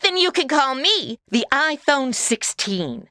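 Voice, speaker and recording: A woman as a bright, snarky modern gal. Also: high voice, takes it slowly, dry recording, studio quality, full character voice. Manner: snarky deadpan quips. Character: sarcastic modern city woman stuck in the Old West. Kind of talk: comedy audio drama acting.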